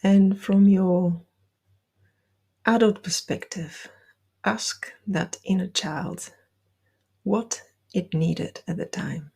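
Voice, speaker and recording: A woman leading a guided meditation, giving gentle, deliberate instructions with soft, breathy phrasing. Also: medium pitch.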